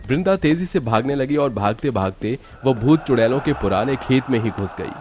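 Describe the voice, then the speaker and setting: A man's voice: mid-range voice, animated, normal speed, a little mellow, slight background noise. A man giving scripted narration for a horror cartoon story.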